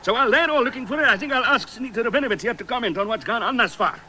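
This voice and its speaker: A man's voice: very high-pitched, rapid-fire, bright, forceful tone, slight background noise, heavily acted. A man playing a bumbling, digressive small-town South African cricket commentator in a 1970s radio comedy. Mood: rambling, chatty.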